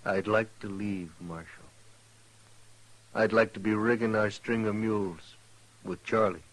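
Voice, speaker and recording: A man's voice: lowish voice, steady tone, a little slow, close to an everyday voice, low-quality recording. An actor playing a grieving, stubborn cowboy in a radio western, brooding and folksy in his talk. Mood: resolute, sad. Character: grieving stubborn cowboy muleteer, man bent on vengeance. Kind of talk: old-time radio western acting.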